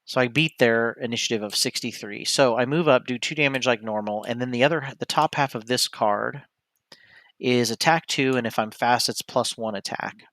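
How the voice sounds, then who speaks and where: A man gives casual play-by-play of his own solo tabletop game in a medium-pitched voice. He thinks aloud and often stops to correct himself. The sound is dry and close, with little room in it. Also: moderate pace, fairly bright tone, no room sound. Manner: hesitant, self-correcting, talks through each move. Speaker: streamer playing a board game solo.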